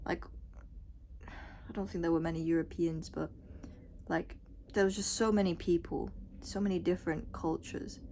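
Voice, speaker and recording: A woman's voice: lowish voice, mellow, a little hiss or hum. A young adult woman vlogger giving a casual, unscripted monologue in a rambling, blunt way with casual swearing. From Scotland and Thailand.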